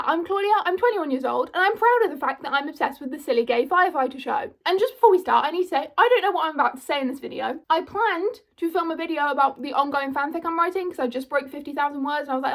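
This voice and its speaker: Brief: woman, 21, high voice, chatty and self-mocking to camera. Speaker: vlogger. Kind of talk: casual chatty commentary.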